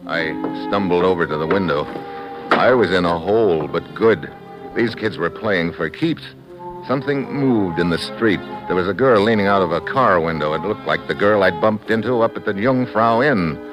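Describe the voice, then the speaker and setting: Low-voiced American male agent, hard-boiled and wry, for vintage radio drama, music on the recording. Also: lively intonation, normal speed, poor audio. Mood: hard-boiled narration. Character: tough, wisecracking American male secret agent. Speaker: lead actor and narrator. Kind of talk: 1950s radio drama acting.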